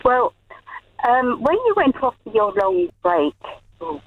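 Woman, radio caller, hesitant and halting, thin phone-line sound. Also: fairly high pitch, very animated, no echo. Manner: hesitant, many ums and restarts. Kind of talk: phone-in chat. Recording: tinny dry phone line.

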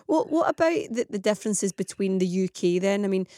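A woman's voice: mid-range voice, expressive, a little fast, warm tone, dry recording. Female radio presenter, easygoing interviewer, dry studio voice.

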